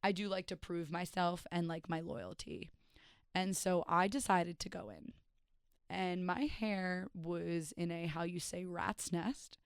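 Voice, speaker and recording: A young woman hosting her own podcast and rambling in a self-mocking, chatty way. Her voice is hoarse and raspy after days of partying. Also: fairly low pitch, fairly even intonation, measured pace, dry recording, studio quality. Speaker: podcast host and stand-up comedian. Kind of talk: casual solo podcast chat.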